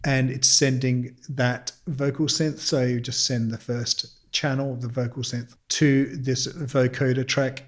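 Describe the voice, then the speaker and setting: A man presenting a music production tutorial in an informal, self-deprecating, explanatory way. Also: medium pitch. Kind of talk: casual software tutorial.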